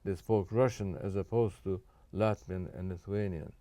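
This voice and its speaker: An older man born in Japan, answering interview questions in a measured, careful way with frequent fillers and restarts. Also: low-pitched, fairly even intonation, warm tone, no room sound. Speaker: guest.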